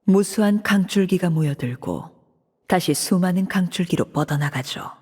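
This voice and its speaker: A woman voicing a cold, composed female leader in a video game, speaking in a measured, formal, controlled way. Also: fairly low pitch, a little mellow, husky. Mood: cool. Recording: reverberant game audio.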